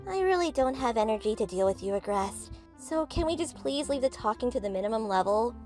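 A woman voicing a sleepy, easily flustered teenage girl, expressive and dramatic, for a comic dub. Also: a little high, fairly quick, soft background music, close to an everyday voice. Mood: curt, weary.

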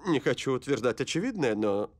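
A man's voice: mid-range voice, big pitch swings, brisk, lightly acted. A man voicing a courteous, deferential cartoon assistant with a formal manner and dry wit. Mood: questioning. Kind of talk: dubbed cartoon acting.

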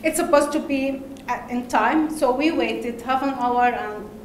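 A woman from Lebanon with an Arabic accent, giving a conference talk in halting English with hesitations and laughs. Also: sits high, a little hiss or hum. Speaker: conference speaker. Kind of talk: presentation talk. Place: large darkened conference hall. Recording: echoey hall, faint ambience.

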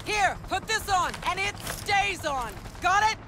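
A woman's voice: extremely high pitch, expressive, a little slow. An actress playing a tough, impatient, no-nonsense mercenary woman who speaks curtly and gives orders in a video game. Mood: commanding. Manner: curt, commanding. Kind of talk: dramatic video game acting. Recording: loud music and vehicle noise behind.

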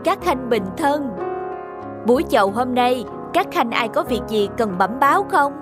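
A woman voicing a haughty, hot-tempered young male emperor in an animated folk tale, speaking imperiously. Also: high-pitched, a little slow. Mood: regal. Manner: imperious, commanding. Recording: faint music underneath.

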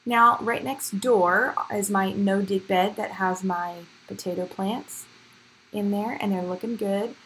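A woman's voice: medium pitch, steady tone, a little slow, a bit bright, husky, rough recording. A woman giving a casual, upbeat, chatty tour as a vlog host, speaking directly to viewers outdoors into a handheld camera. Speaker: host of a home gardening vlog. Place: outdoors in a backyard garden in the evening. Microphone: handheld camera or phone. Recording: outdoor handheld audio with background noise. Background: faint outdoor ambient noise.

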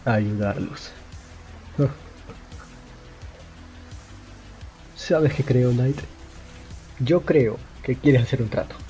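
Man acting as L, mellow cool young detective. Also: medium pitch. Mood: scornful, accusing. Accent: Latin American Spanish. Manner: deliberate, cool, accusatory. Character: calm, cunning young male detective confronting his suspect. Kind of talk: amateur film dub acting. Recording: music under the dialogue. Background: background music.

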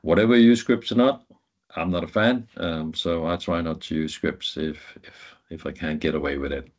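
Low-voiced man, halting technical presenter. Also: lo-fi sound. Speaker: guest presenter, IT professional. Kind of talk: informal technical presentation over slides.

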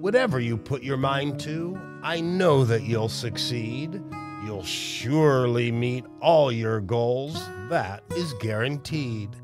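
A man reading a children's picture book aloud in an expressive, measured, encouraging way. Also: medium pitch. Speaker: storybook reader. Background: plucked guitar music.

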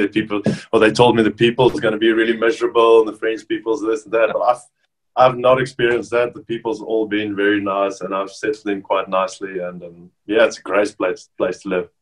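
South African man, low voice. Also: fairly quick, low-quality recording. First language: Afrikaans. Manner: relaxed, frequent restarts. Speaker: rugby player guest. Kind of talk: casual interview chat. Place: bedroom at home, indoors. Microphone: video call.

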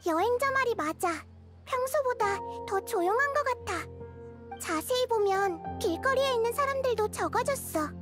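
A little goddess of wisdom in a video game, with a very high, girlish voice. She is gentle, composed and measured, over prominent music. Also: lively intonation. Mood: thoughtful, composed. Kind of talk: game character dialogue.

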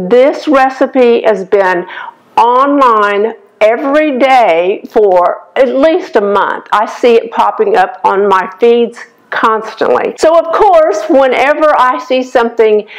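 An older woman with a slightly raspy voice hosts a casual cooking demonstration in her home kitchen, talking the viewer through each step. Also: a little high, animated, slow pace, low-quality recording. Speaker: cooking show host.

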